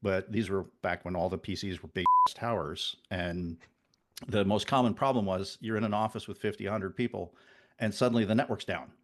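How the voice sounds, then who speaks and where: Man, 50s, from the Netherlands, very low voice, rambling restarts, podcast guest chat. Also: fairly even intonation, a little fast, fairly bright tone. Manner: rambling, many restarts and self-deprecating asides. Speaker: podcast guest, network engineer. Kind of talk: casual interview chat.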